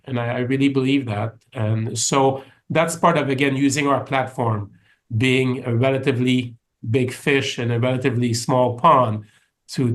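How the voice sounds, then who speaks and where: A man with a French Canadian accent, answering interview questions in a thoughtful, conversational way with frequent restarts. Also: medium pitch. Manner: thoughtful, many restarts and fillers. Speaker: orchestra executive, guest.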